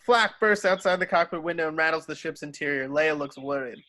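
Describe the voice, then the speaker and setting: A man's voice: a little high, a little fast, bright, forceful tone. A man reading screenplay stage directions aloud as scripted narration.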